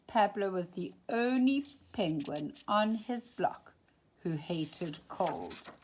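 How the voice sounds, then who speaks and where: Female storytime reader, slow and very lively in pitch, dramatic emphasis for young listeners. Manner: animated, dramatic emphasis with drawn-out phrases and hushed moments. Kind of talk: expressive children's picture-book read-aloud.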